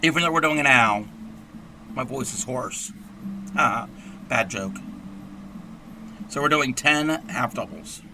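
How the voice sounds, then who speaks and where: A man with a hoarse, scratchy voice who talks through a crochet tutorial in a rambling, chatty way and clears his throat often. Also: mid-range voice, slow, pauses often, over music. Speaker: crochet livestream host.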